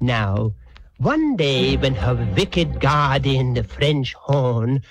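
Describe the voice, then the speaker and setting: A man's voice: mid-range voice, big pitch swings, very slow. A man telling a comic musical story for children in a theatrical, playful, mock-serious manner. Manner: playful, mock-serious, mimics instruments with nonsense sounds.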